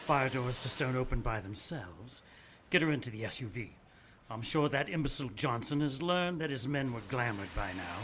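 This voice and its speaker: A man's voice: medium pitch, expressive, normal speed, close to an everyday voice. A man playing a cold, imperious religious villain giving clipped orders in a radio drama. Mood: cold, commanding. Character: cold, commanding religious agent from the Vatican, villainous. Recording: noisy vehicle ambience.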